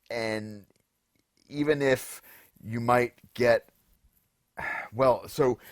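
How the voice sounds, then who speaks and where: A man with a hoarse voice, co-hosting a podcast in casual, wordy chat, thinking aloud as he goes. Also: on the low side, no echo, clean studio sound. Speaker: podcast co-host. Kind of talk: casual unscripted chat about game shows.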